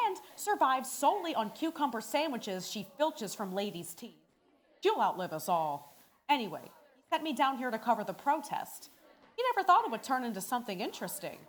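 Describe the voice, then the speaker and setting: An actress in a radio play playing a wisecracking woman newspaper reporter, slangy and sardonic, in an old-time style. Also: fairly high pitch. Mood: wry, chatty.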